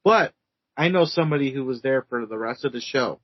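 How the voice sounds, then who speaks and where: A man's voice: medium pitch, lively intonation, brisk, dry recording. A man co-hosting a casual podcast, telling a story in a hesitant, rambling way. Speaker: podcast co-host, wrestler. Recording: dry, dull lo-fi audio.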